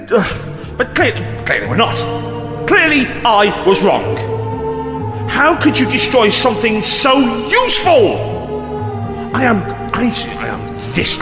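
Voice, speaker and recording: A man acting in an audio drama as an anguished, hot-tempered police investigator, intense and halting, repeating himself. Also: high-pitched. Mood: indignant, angry. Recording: echoey reverberant sound with music underneath.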